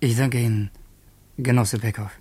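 Actor as husky, tormented young revolutionary man. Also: medium pitch, steady tone, moderate pace, a little mellow, close to an everyday voice. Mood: exhausted, despairing. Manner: agitated, urgent, breaking into near whispers. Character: tormented young male revolutionary Andrejew, secretary to a governor. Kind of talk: German radio play acting.

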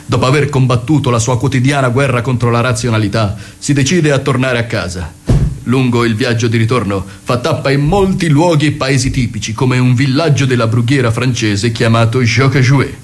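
A man tells a comic story for radio theatre. His voice sits at a medium pitch, and he delivers the scripted narration with mock solemnity. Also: a little fast. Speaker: narrator and performer.

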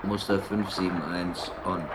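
An actor playing a calm, matter-of-fact technical assistant who talks in clipped procedural phrases, in a German radio play. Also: male voice, sits low, unhurried, heavy background noise. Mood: businesslike. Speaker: lab assistant.

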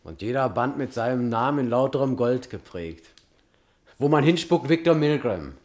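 An actor playing a young, struggling Hollywood screenwriter in a German radio drama, wry, charming and flattering. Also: male voice, mid-range voice, normal speed, close to an everyday voice. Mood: ingratiating, wry.

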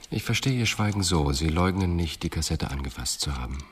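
A man playing an intense, quietly insistent police investigator with deliberate, measured speech in a radio crime drama. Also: low voice, expressive, slight background noise. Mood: cool, insistent.

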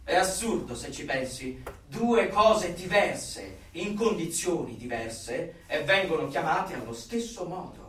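A zealous, exuberant servant of a dystopian regime, declaiming with fanatical fervour. The voice is high and bright and swoops widely from line to line. It is heard in a radio play. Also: male voice, expressive, measured pace. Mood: ecstatic. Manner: declamatory, fanatical, swinging from hushed to shouting. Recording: very echoey room, far from the mic.

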